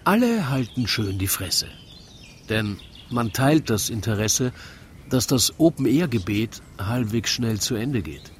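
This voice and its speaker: A man's voice: mid-range voice, big pitch swings, unhurried, slight background noise. A man narrating a comic rhymed story in a wry, theatrical storytelling manner. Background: music.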